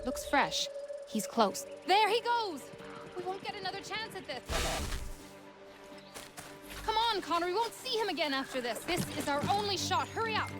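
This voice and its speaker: An actress playing a tough, plain-spoken frontier huntress in a video game, with a clipped, rustic, determined manner. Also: female voice, extremely high pitch, sing-song, normal speed, pauses often. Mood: focused, then urgent. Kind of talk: video game character dialogue. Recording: music behind the dialogue.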